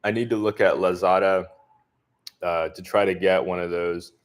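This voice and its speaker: Man, deep voice, livestream Q&A, relaxed, lots of ums, neutral accent. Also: normal speed, clean tone. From southern US. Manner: relaxed, thinking aloud with many fillers. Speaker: expat vlogger answering live chat. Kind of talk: casual livestream Q&A.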